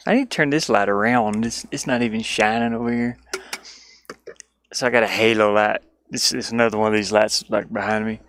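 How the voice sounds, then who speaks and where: A man's voice: on the low side, fairly even intonation, brisk, fairly bright tone, a little hiss or hum. A man chatting casually as a livestreamer and game developer, rambling playfully and easily sidetracked. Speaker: streamer and indie game developer. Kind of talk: casual livestream chat while working. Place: inside a van.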